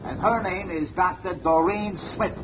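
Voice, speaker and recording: A hulking, thick-headed male asylum orderly who growls threats in rough street talk, his voice pitched a bit high for such a big man. The old radio recording sounds muffled and carries a steady hiss. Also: unhurried. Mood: surly. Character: gruff muscle-bound male asylum nurse. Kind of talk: 1940s radio acting.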